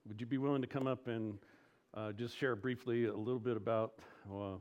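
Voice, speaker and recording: A slightly dull-sounding recording of a man with a mid-pitched voice. He is a pastor addressing his church informally, in an off-the-cuff way. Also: fairly even intonation, a little fast. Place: church service.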